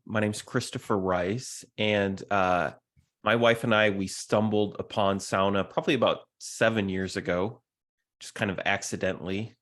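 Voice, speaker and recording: A man's voice: low-pitched, fairly bright tone. A man from California talking in a casual, reflective way as a podcast guest, his sentences dotted with fillers. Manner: easygoing, reflective, with many fillers.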